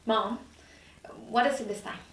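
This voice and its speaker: Woman acting a sharp, impatient, higher-voiced career woman. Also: measured pace, a little hiss or hum, close to an everyday voice. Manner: curt, demanding delivery. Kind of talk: table read of a TV dramedy script. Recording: roomy recording, mic at a distance.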